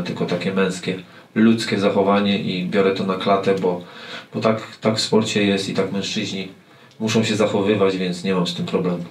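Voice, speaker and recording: A football coach in his forties answers reporters in a halting way, stumbling over and repeating his words. His voice sits a little low. It comes from a table mic a short way off, in an echoey press room with faint noise. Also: recorded from a distance. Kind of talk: post-match press conference. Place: press room with sponsor wall. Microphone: table mic on stand.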